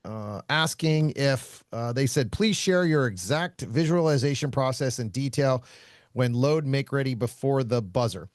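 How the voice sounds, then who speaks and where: A man who hosts a podcast and teaches shooting, talking casually in a loud voice, blunt, laughing often and swearing freely. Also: mid-range voice, animated, measured pace, no echo, clean studio sound. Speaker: shooting instructor and podcast host.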